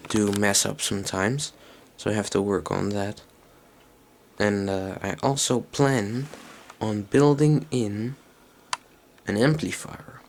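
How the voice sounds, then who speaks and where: Low, creaky-voiced man thinking aloud. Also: slight background noise. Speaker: electronics hobbyist presenting a tape recorder. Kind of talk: casual unscripted show-and-tell demonstration.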